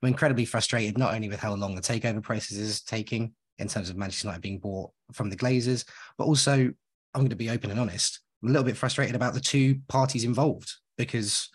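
A man's voice: fairly low pitch, fast, husky. A man co-hosting a podcast in casual conversation, enthusiastic and rambling, speaking straight to his listeners. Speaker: podcast co-host.

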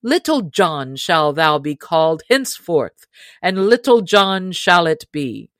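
A woman's voice: lowish voice, sing-song, takes it slowly, fairly bright tone, no echo, clean studio sound, exaggerated voice. A woman voicing a jolly, bold male outlaw leader who speaks in hearty, commanding old-fashioned speech. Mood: amused.

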